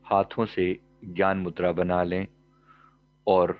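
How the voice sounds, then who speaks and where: Low, slow-paced man guiding meditation. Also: phone-quality sound. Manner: calm, measured, with frequent pauses between short phrases. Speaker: meditation teacher and life coach. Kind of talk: guided meditation instruction.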